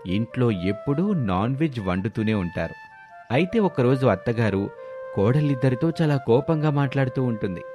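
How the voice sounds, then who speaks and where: A man works as narrator of a scripted moral tale. His voice sits at a middle pitch, and music plays behind the narration. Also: expressive, normal speed.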